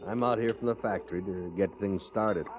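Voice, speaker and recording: A man's voice: on the low side, brisk, close to an everyday voice. An actor playing an opinionated, confident traveling salesman with an American accent, emphatic and blunt, in an old-time radio Western. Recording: muffled, noisy old radio recording.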